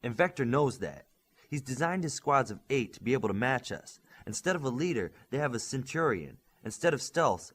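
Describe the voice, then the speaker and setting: A man's voice: medium pitch, a bit bright, breathy edge. A man voicing a young, earnest superhero squad leader in an audiobook, giving orders in an emphatic briefing style. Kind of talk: audiobook character dialogue.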